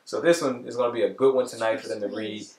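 A man's voice: medium pitch, steady tone, quick pace, a little mellow, slight background noise. Dad in his 30s reading to his kids, casual, roomy echo from a camera across the room. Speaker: father reading a storybook with his children. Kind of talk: casual read-aloud to children. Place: carpeted room in a home, indoors. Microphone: distant camera microphone.

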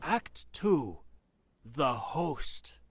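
A man's voice: mid-range voice, very animated, extremely slow, vocal fry, husky, exaggerated voice. A man playing an ominous storyteller, narrating a horror radio play with scripted drama.

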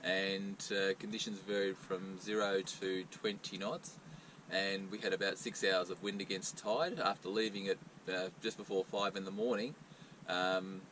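Relaxed man on a yacht. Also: low-pitched, moderate pace, slight background noise, poor audio. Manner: relaxed, many ums and uhs. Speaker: sailing vlogger. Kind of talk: casual piece to camera. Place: outdoors on a small yacht's cockpit.

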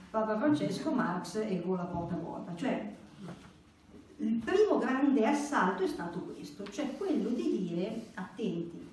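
Echoey, mellow middle-aged woman lecturing. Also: mid-range voice. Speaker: conference speaker. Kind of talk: explanatory religious lecture, partly read from notes. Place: indoor church meeting room. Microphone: stand microphone. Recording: very echoey room, distant, faint hiss.

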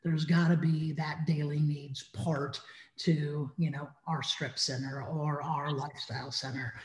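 A man's voice: on the high side, little pitch movement, unhurried, crowd noise. A man in his fifties speaking emphatically as an industry panelist on a video call. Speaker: restaurant industry consultant, panelist. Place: living room at home, indoors. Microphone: computer mic on video call. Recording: dull, echoey video-call audio.

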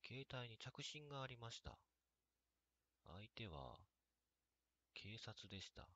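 A man narrating a scripted first-person story in a measured, hushed way. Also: mid-range voice, sing-song. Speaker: reader of own horror and drama stories.

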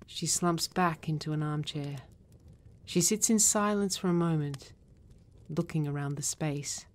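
A woman's voice: low-pitched, unhurried. A woman reading stage directions as scripted narration for a drama.

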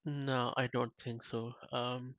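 A man's voice: medium pitch, level tone, takes it slowly, fairly bright tone, no room sound, dull audio. A man hosting a tech tutorial, thinking aloud in a casual walkthrough with frequent restarts. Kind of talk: casual screen-share walkthrough.

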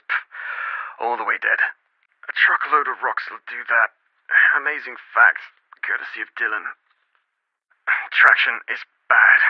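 A man's voice: mid-range voice, no echo, muffled recording, close to an everyday voice. An actor playing a cheeky young male adventure tour guide in a video game, talking in a breezy, wisecracking, showman's way. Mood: uneasy, wry. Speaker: game character.

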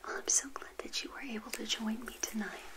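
An older woman whispering a gentle, playful ASMR roleplay as a witch hostess, speaking straight to the listener in a breathy voice. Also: fairly high pitch, fast talker.

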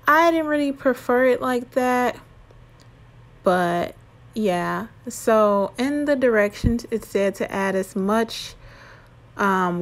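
A young adult woman talking informally and chattily, as in a casual cooking vlog. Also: medium pitch. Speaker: vlogger.

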